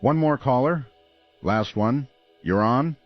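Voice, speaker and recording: An actor playing a shaken, helpless radio host broadcasting through a catastrophe in a grave, halting way. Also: male voice, medium pitch, lively intonation, no room sound, muffled recording, faint music behind. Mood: resigned despair. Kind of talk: radio drama acting, live call-in broadcast.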